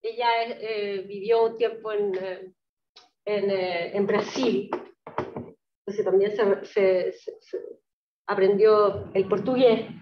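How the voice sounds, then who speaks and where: A woman giving a public talk on poetry with readings, explaining in a halting way and often checking with short tag questions. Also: medium pitch, steady tone, slight background noise. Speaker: lecturer presenting Palestinian poetry. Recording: roomy, distant, dull lo-fi pickup.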